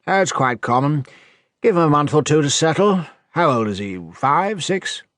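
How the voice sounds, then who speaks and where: A man's voice: fairly high pitch, animated, normal speed, a bit bright, no room sound, clean studio sound, pitched above the performer's own voice. A man voicing a plump, genial village doctor in an audiobook, English, with clipped questions and hesitant little ums. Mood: brisk, reassuring.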